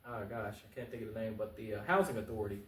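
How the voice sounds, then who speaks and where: Male candidate in a casual interview, earnest and rapid-fire, recorded in a roomy, echoing space. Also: on the low side, a bit bright, a little hiss or hum. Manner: passionate, informal, repeats phrases for emphasis. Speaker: interview guest, local political candidate. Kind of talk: casual, earnest talk-show interview answers. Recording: roomy echo, distant mic.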